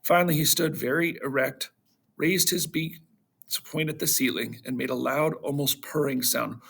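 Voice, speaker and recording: A man reading a children's storybook aloud to schoolchildren in an animated narrating style. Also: a little high, moderate pace, vocal fry, slightly rough.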